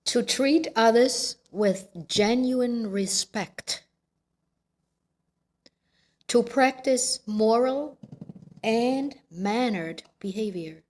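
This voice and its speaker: A woman reads a formal list aloud as a member of a congregation. Her voice is fairly high and her pace is extremely slow. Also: a little mellow.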